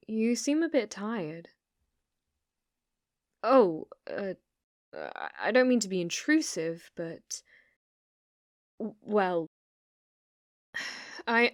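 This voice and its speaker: A woman performs a sweet British shop clerk for an ASMR roleplay, with an obsessive streak under the shyness. She speaks slowly and softly in a hesitant, solicitous way, very close and dry with no room sound. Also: mid-range voice, no echo. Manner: hesitant, apologetic, solicitous, with soft giggles. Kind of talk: soft-spoken ASMR roleplay acting.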